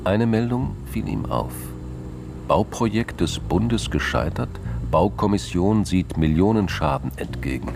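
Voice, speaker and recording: Very deep, gravelly male narrator. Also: expressive, measured pace, over music. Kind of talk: scripted radio drama narration.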